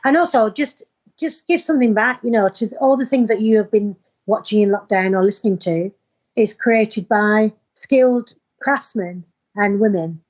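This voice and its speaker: An actress talks in an informal interview, earnest and full of emphatic enthusiasm. Her voice sits at an average pitch for a woman. The recording is slightly dull and lo-fi. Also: normal speed, darkish tone. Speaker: actor being interviewed. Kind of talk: informal interview, promoting an audio play.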